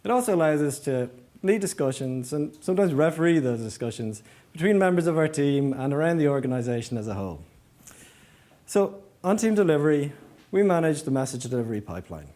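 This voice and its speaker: A man's voice: on the high side, very animated, fairly quick, warm tone. A man giving a prepared presentation as an engineering manager, explaining step by step and posing rhetorical questions. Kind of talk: prepared conference-style presentation.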